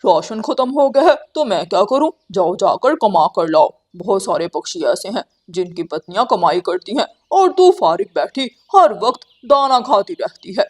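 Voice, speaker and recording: A woman voicing a bossy, scheming male crow husband with a loud, scolding manner in a children's cartoon. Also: medium pitch, animated, normal speed, few pauses, slightly rough, voice pushed down. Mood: angry, scolding.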